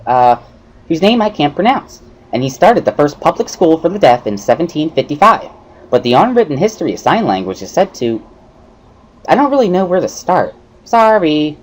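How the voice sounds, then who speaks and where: A woman's voice: low voice, low-quality recording. A woman voicing a bubbly, pushy schoolgirl tutor with perky, giggly, emphatic speech in a visual novel reading. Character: bubbly, pushy schoolgirl, earnest amateur sign language tutor.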